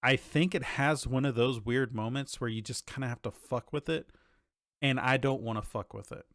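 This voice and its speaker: Male podcast co-host, mid-pitched, casual halting chat with some swearing, very dry close sound. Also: fairly even intonation, a bit bright, dry recording.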